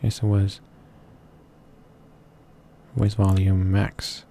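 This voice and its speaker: Flat-voiced man reading, low and noisy. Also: vocal fry. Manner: halting, stumbles and corrects himself. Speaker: video game walkthrough host reading aloud. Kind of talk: read-along narration of a visual novel. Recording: noisy home recording with constant background hiss.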